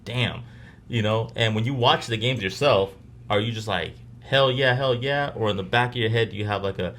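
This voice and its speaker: A man co-hosting a casual sports podcast, relaxed and questioning as he lays out his view. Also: mid-range voice, normal speed. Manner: relaxed, questioning, laughs easily. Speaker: podcast co-host.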